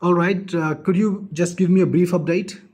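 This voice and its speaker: A man's voice: high voice, fairly even intonation, moderate pace, darkish tone, husky. A man in a casual phone conversation, asking questions somewhat hesitantly as the person called. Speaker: call recipient.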